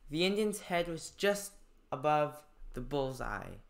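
A young adult man reading a novel aloud like a student, with informal commentary and some halting stumbles. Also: a little high, very animated, gravelly. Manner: haltingly stumbles over unfamiliar names. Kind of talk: read-aloud with informal note-taking commentary.

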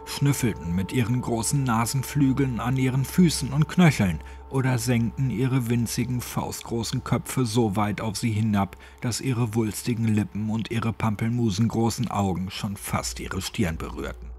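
A man's voice: medium pitch, normal speed, hardly any pauses, a little mellow, a little hiss or hum. A man reading a scripted horror story as an audiobook narrator. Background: faint music.

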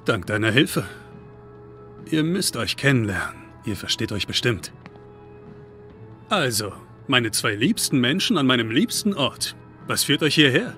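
Bright-voiced, friendly young man. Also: medium pitch, big pitch swings, unhurried, pauses often, soft background music. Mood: upbeat, affectionate. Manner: casual, teasing, affectionate. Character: friendly, upbeat young man, protective big-brother type. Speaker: video game character. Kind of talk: video game dialogue.

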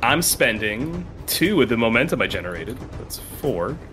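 A man's voice: mid-range voice, big pitch swings, moderate pace, bright, forceful tone, faint music behind. A man playing a tabletop game, chatting casually and jokingly with slangy, profane phrasing.

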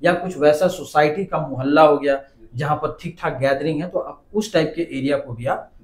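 A man's voice: on the high side, normal speed, echoey room. A man in his thirties explaining business steps patiently as a business coach, numbering his points. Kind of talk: explaining step by step, advisory interview. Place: small office with a desk.

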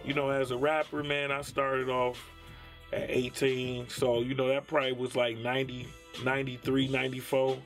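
A man's voice: medium pitch, flat delivery, moderate pace, fairly bright tone, faint music behind. A man in his thirties with a Detroit accent, speaking casually and slangily in a documentary interview as a rapper. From Detroit, USA. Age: 30s. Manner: slangy, conversational with frequent tag phrases. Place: small dim padded studio room, music behind.

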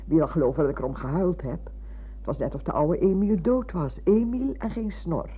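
An older actress playing a chatty, fussy, sentimental retired schoolmistress who rambles and interrupts herself in a radio play. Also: female voice, on the low side, brisk, husky. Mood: reminiscing. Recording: muffled phone-like old radio recording.

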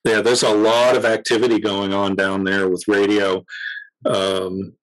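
A man in his fifties, an expert guest on a video call, explaining technical matters casually with hesitant pauses. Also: lowish voice, level tone, rough recording. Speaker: interview guest, radio communications expert. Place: home room, on a video call.

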